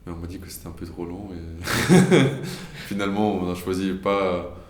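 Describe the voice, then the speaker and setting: A man's voice: low voice, measured pace, reverberant. A young man from Ukraine, an actor giving hesitant, reflective interview answers and often searching for words. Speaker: actor interviewed about his play.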